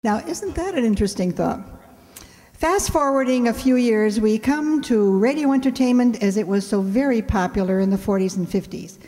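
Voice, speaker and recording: A woman's voice: on the high side, normal speed, warm tone. A woman giving a scripted introduction to an audience as the presenter of a radio play. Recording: echoey hall.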